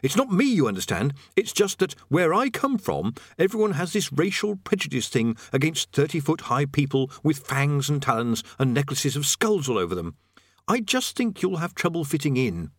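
A man voicing a nervous, cowardly adventurer in a storybook, full of awkwardly polite, hurried excuses. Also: a little high, sing-song, no room sound, clean studio sound, pitched above the performer's own voice. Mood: nervous, evasive.

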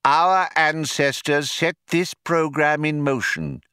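A man voicing a solemn, severe male keeper with a measured, portentous manner, in an audiobook. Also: fairly high pitch, animated, sharp tone, smooth, dry recording, clean studio sound, voice pushed up, exaggerated voice. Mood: solemn anticipation. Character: solemn, severely dressed male keeper awaiting an ancient computer. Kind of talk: audiobook character voice.

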